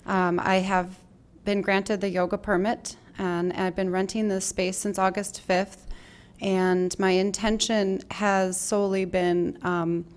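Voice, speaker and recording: A woman speaks with a smooth, clear voice held to a flat, even pitch. She makes an earnest statement before a village board and fills her pauses with ums. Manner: earnest, with frequent fillers. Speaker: business applicant. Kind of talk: public statement. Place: village board meeting room.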